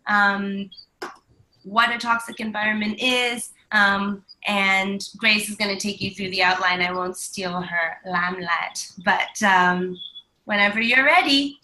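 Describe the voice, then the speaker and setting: A woman's voice: medium pitch, steady tone, unhurried, sharp tone, poor audio. A woman co-hosting a live stream from home, upbeat and chatty with lots of ums, sounding stuffy from a cold. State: stuffy from flu. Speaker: co-host.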